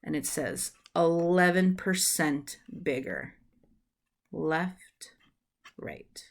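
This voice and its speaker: A woman in her thirties reading a children's book aloud as a storyteller, deliberate, with drawn-out phrases and small asides to the listener. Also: low voice, expressive, gravelly. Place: small room at home, indoors.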